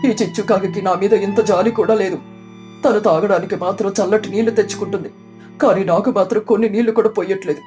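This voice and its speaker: A woman's voice: medium pitch, steady tone, quick pace, warm tone, faint music behind, close to an everyday voice. A woman voicing a sorrowful, neglected mango tree that laments in a children's cartoon. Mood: suffering, pleading. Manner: plaintive, lamenting.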